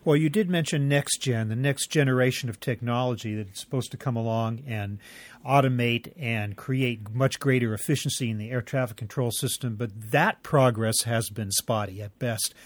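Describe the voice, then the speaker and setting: A man hosts a podcast interview with a mid-pitched voice. He puts his questions in a measured, articulate manner. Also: no room sound.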